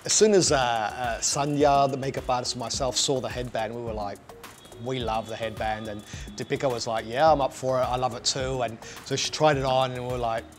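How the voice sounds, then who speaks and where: A middle-aged man talks about his hairstyling work with real enthusiasm, stopping often on little fillers. His voice sits at an ordinary middle pitch, and light music plays behind him. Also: fairly even intonation, fairly quick. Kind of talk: sit-down interview. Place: living room, indoors.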